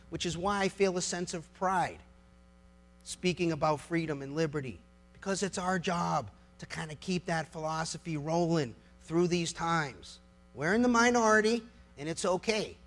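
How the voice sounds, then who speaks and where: A high-pitched male voice with a gravelly fry and an American accent. He is middle-aged and speaks as an impassioned orator addressing a live audience. His delivery is emphatic, built on rhetorical questions and pauses for weight. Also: a bit bright. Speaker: lawyer giving a public speech.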